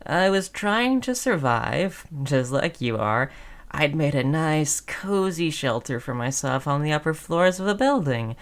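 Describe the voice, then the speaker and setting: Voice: mid-range voice, sing-song, unhurried. A performer playing a friendly, chatty undead zombie who wheedles in casual slang, in a scripted audio roleplay. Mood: chatty, reminiscing. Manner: casual, cajoling, slangy salesman-like patter.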